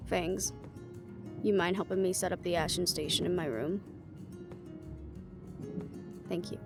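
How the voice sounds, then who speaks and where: A woman's voice: lowish voice, fairly even intonation, unhurried, gravelly. A woman playing a witty, confident young female adventurer in a playful, conversational audio roleplay. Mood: bantering. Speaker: amateur voice actor. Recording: music behind the voice.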